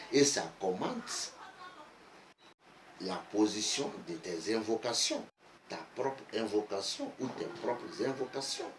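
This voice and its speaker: Slow-spoken West African man, echoey room. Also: lowish voice. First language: Bambara (Bamanankan). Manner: emphatic repetition, deliberate. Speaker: geomancy teacher, online video host. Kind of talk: instructional explanation. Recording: echoey room, faint background noise.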